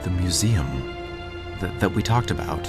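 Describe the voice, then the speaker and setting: A man's voice: low voice, moderate pace, gravelly. A man playing a stubborn, evasive character in an audio drama, with a hesitant, defensive manner. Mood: sheepish, pleading. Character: stubborn, evasive man obsessed with his projects. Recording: music behind the voice.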